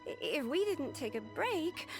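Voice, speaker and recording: Eager young office clerk, very high voice, flustered, deferential, anime game, music behind. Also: female voice, slow pace, exaggerated voice. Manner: excitable, deferential.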